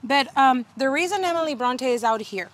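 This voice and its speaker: A woman's voice: high voice, expressive, moderate pace, a bit bright. Woman, thirties, chatty, lots of fillers, outdoor garden tour, camera mic. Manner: chatty, many fillers and restarts. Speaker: garden video host. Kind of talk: casual garden tour chat.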